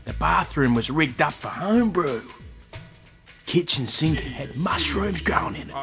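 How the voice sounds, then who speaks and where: Restless, lively Australian drifter. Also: male voice, a little high, a little slow, gravelly, faint music behind, exaggerated voice. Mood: cagey, wry. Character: scruffy, restless male drifter, cagey and excitable. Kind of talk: radio drama acting.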